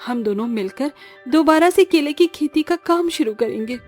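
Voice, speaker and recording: Woman playing an earnest, high-voiced young village daughter-in-law. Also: expressive, moderate pace, a little mellow, soft background music. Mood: reassuring. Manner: earnest, pleading.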